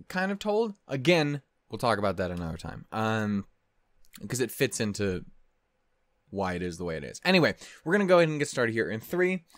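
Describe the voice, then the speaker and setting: A man's voice: medium pitch, no echo. Dry-miked man rambling casually. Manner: sweary, flustered, halting and digressive. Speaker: reaction video host. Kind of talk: casual rambling reaction commentary. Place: small furnished room at home, indoors. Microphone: close desk condenser microphone.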